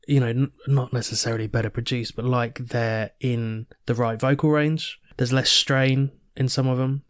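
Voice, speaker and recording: A man's voice: medium pitch, clean tone, no room sound. Man talking guitar details, dry sound. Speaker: podcast co-host. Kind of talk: casual music review chat.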